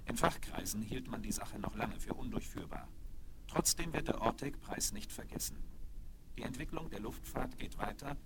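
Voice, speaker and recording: Measured male radio-play narrator. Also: mid-range voice, lively intonation, vocal fry, very noisy. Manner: measured, factual storytelling. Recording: vintage vinyl record.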